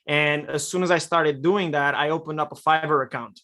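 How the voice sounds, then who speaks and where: A young man from Romania, a podcast guest, telling his story in a relaxed way with many fillers. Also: fairly high pitch, normal speed. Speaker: guest, e-commerce copywriter. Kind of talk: podcast interview answers.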